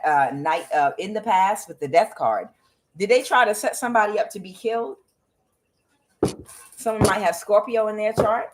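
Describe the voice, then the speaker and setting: A woman with a somewhat low voice reads tarot cards by herself. She speaks bluntly and swears freely, scattering "uh" through her sentences and putting questions to the cards as she goes. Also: background noise. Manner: blunt and profane, with frequent "uh" fillers. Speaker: tarot reader. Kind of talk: solo tarot reading to camera.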